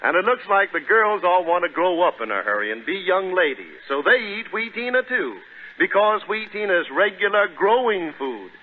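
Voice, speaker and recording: A man's voice: high voice, sing-song, moderate pace. A man announcing a 1930s radio show in a folksy, enthusiastic sales style. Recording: tinny 1930s radio recording, music behind.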